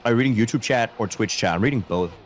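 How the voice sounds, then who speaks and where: A man's voice: on the low side, fast, slight background noise. A man from Denmark, a streamer, chatting casually with his viewers, answering their questions aloud and poking fun at himself.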